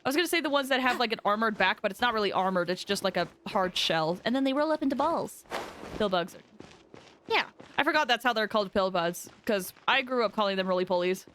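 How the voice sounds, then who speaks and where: A woman livestreaming a game, casually commenting on play and chatting to viewers with rambling, expressive asides. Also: mid-range voice, fast talker, slight background noise. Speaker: game streamer.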